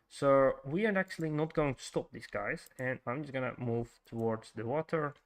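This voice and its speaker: A man with a Frisian Dutch accent streaming a strategy game, thinking aloud, greeting viewers and stumbling through fillers and restarts. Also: medium pitch. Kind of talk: casual livestream commentary while playing.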